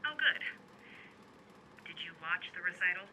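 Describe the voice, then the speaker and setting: Sharp, bright worried wife on the phone played by a woman. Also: on the low side, expressive, moderate pace, slight background noise, low-quality recording. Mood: pointed. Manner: pointed, gently nagging. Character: worried, reproachful wife and mother. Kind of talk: audio drama acting.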